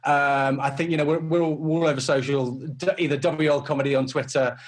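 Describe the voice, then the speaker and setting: A man's voice: a little high, steady tone, a little fast. A man chatting casually as an interview guest, explaining things in an informal, conversational way. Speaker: comedy company founder, interview guest.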